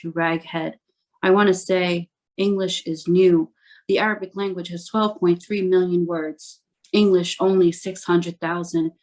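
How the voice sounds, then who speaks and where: A woman poet reading her spoken word poems aloud in a measured, deliberate way, one short phrase at a time. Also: lowish voice, rough recording.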